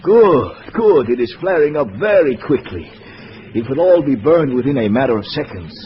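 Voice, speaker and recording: An actor playing a haughty, anxious communist courier, formal and indignant, in 1950s radio drama style. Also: male voice, medium pitch, sing-song, normal speed, lightly acted. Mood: urgent, relieved. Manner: formal, indignant, fretful phrasing. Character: anxious, haughty Eastern European communist diplomatic courier, male. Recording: dull, noisy vintage radio recording.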